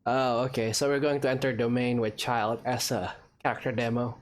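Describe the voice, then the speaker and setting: A woman giving casual, halting commentary as she plays and reacts to a video game. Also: a bass voice, steady tone. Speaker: let's-play host.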